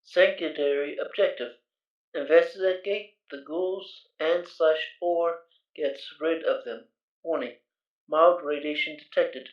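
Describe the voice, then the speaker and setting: A man's voice: a little high, slow pace. A man playing an impassive computer that issues warnings and objectives in an audio drama, clipped and formal. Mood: impassive, official. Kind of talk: amateur audio drama acting. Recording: echoey, slightly dull audio.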